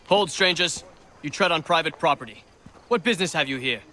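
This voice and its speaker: An actor playing a suspicious, brusque colonial gate guard in a video game, with old-fashioned, challenging speech. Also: male voice, fairly high pitch, animated, brisk, bright, forceful tone, a little hiss or hum. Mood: wary, challenging. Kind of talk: video game cutscene acting.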